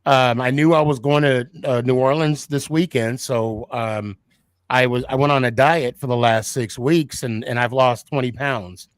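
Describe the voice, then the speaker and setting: A man's voice: medium pitch, fairly even intonation, normal speed, clean tone, no room sound. A man chatting casually and jokingly as a panelist on a sports show.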